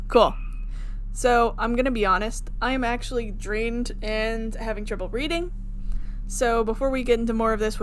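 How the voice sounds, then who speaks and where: High-voiced woman hosting a gaming video. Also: slight background noise. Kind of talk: casual let's play commentary.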